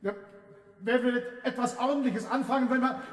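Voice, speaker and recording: An actor playing an aggrieved common man in a German radio play, protesting in halting phrases with repeated words. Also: very high-pitched, steady tone, a little hiss or hum. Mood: indignant, pleading. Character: aggrieved common man questioning submission to tyrants. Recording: reverberant hall.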